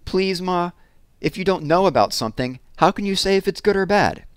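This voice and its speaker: A man's voice: high-pitched, a little fast, smooth, no room sound, clean studio sound, pitched above the performer's own voice, close to an everyday voice. A man voicing an earnest, eager boy who pleads seriously with his mother in a story read aloud. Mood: pleading.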